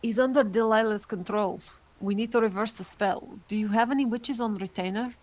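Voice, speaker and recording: An ancient vampire woman, voiced by a woman, calm and formal. She stays composed and measured, even under threat, in a mid-pitched, steady voice. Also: lightly acted. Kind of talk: amateur online table read.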